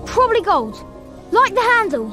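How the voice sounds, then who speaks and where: A very high, sharp, animated boyish voice with an English accent. He is an excitable young wizard in a video game cutscene, quick with sarcasm, with faint music behind. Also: exaggerated voice. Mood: wry.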